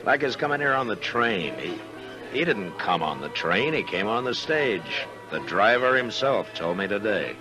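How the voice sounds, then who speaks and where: An actor playing a laconic American frontier marshal in a 1950s radio western, with a measured, understated but firm manner. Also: male voice, on the low side, sing-song. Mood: uneasy, thoughtful. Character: stern, laconic frontier town marshal, grown man. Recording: old radio recording, music behind.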